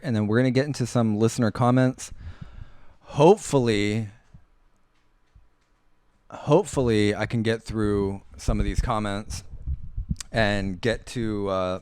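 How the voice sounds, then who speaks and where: A man hosting a casual live radio talk show, rambling through listener comments in a halting way and often repeating words and phrases. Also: fairly low pitch, clear, resonant tone, no echo, clean studio sound.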